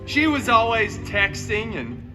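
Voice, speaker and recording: A man with a notably high voice tells a comic story live at a theme park. He takes his time, theatrical and sarcastic with wry asides. He sounds distant, with clear music behind him. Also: wildly swooping pitch, unhurried. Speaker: costumed theme park performer reading a story. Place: outdoor theme park show.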